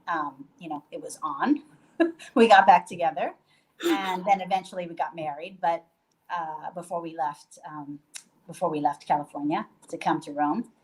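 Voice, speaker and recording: A woman's voice: low voice, rough recording. A woman from Puerto Rico talking casually on a video call from a guesthouse bedroom, loose and chatty with many fillers and restarts. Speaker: guest, hostel owner.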